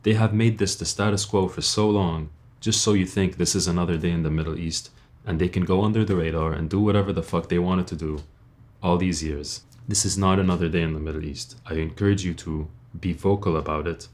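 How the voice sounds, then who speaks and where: A young man from Lebanon with a very low-pitched voice delivers an earnest monologue straight to his audience. He talks bluntly and informally, as an online creator would, and leans on rhetorical questions. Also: fairly even intonation, brisk, a little mellow. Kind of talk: earnest personal monologue to camera.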